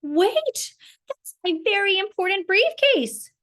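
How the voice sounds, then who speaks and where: A woman's voice: very high-pitched, big pitch swings, slow pace, fairly bright tone, voice pushed up, exaggerated voice. A woman voicing a frantic, self-important male tiger office worker in a children's storybook, calling out urgently and emphatically. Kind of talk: children's storybook read-aloud.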